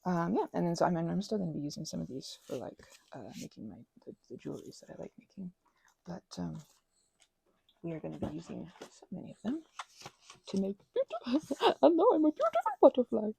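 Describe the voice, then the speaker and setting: A woman in a soft-spoken ASMR crafting ramble, talking close to the microphone in a meandering way and often slipping into whispers. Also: on the low side, huge pitch swings, fairly quick, creaky, slightly rough.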